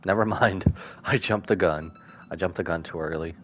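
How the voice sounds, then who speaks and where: A man streaming a game with casual, informal live reactions and expressive asides. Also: low-pitched, normal speed, slight background noise. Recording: faint game music behind.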